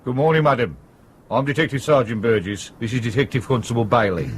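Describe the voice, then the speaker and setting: A man's voice: medium pitch, fast talker, breathy edge, natural voice. A man acting a blunt, sardonic police detective sergeant in a radio drama, with brusque, colloquial speech. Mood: businesslike, probing.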